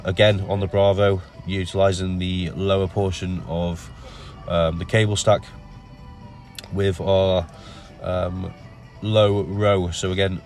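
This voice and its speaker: A man with a nasal voice from a cold, explaining his gym workout in a relaxed voice-over full of ums. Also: deep voice. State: has a cold. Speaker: bodybuilder narrating his workout. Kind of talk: casual voice-over commentary.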